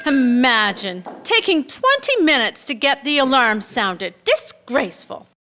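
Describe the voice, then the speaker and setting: Radio drama wife, played by a woman: opinionated, indignant, high pitched with lively swings. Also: unhurried, a little hiss or hum, exaggerated voice. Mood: disapproving. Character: opinionated, disapproving hotel guest, a wife.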